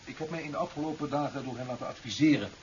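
An actor playing a stern, impatient president in a 1970s radio drama, speaking curtly and formally. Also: male voice, medium pitch, racing, darkish tone, natural voice. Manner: curt, formal, reproachful. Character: stern, impatient male president, cutting and authoritative. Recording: dull, hissy 1970s radio recording.

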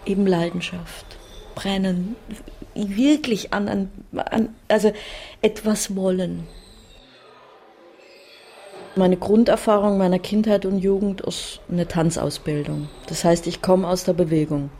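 A woman's voice: mid-range voice, steady tone, unhurried, dark tone, slight background noise. A woman reminiscing in conversation in a reflective way, searching for her words as she goes.

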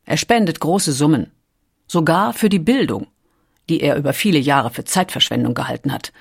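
A woman's voice: low-pitched, lively intonation, moderate pace, creaky, breathy edge. A woman reading scripted documentary narration in a composed, carefully articulated storytelling manner.

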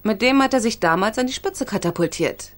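A woman's voice: on the high side, lively intonation, lightly acted. A woman playing an inquisitive, opinionated newspaper journalist in a radio crime drama, teasing and argumentative. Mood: speculative, keen. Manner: argumentative, speculating briskly.

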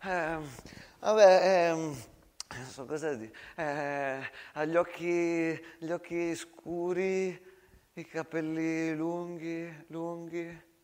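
A man playing a shy, lovestruck soldier, speaking softly and haltingly in a theatrical monologue. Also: on the high side, steady tone, voice pushed down. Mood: bashful. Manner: halting, embarrassed, soft. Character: shy, lovestruck ordinary soldier, bashful and hesitant.

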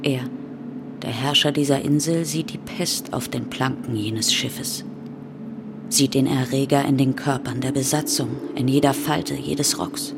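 A woman performing as a grave narrator in a radio play, with measured poetic phrasing and a breathy, half-whispered delivery. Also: very deep voice, steady tone, noisy background, exaggerated voice. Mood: grave, intense. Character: female narrator chanting a grave tale of a plague ship. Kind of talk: literary radio drama narration, incantatory refrains.

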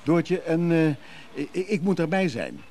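A man playing a brisk schemer giving urgent, secretive orders on the phone in a radio play, halting and commanding. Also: on the high side, wildly swooping pitch, a little mellow. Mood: excited.

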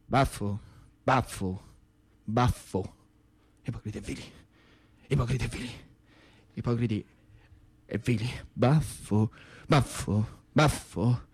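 A man's voice: mid-range voice, slow pace, long pauses, husky, pitched below the performer's own voice. A man playing fervent villagers chanting political slogans insistently in radio theatre.

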